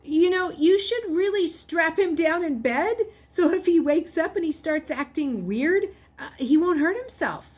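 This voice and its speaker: A woman's voice: very high voice, lively intonation, unhurried, few pauses, darkish tone, voice pushed up. A woman voicing a nervous, stammering boy in a storybook, flustered and hesitant as he bluffs. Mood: anxious, insistent. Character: nervous, stammering boy telling lies, easily panicked. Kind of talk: storybook character voice. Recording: clearly noisy background.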